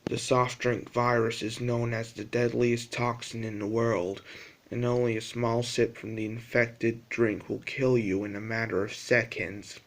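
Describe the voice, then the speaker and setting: A synthetic-sounding man delivers an emergency broadcast announcement. His pitch is average and barely moves. He reads the scripted warning very slowly, in a formal and impersonal way. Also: rough recording. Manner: flat, monotone, formal delivery.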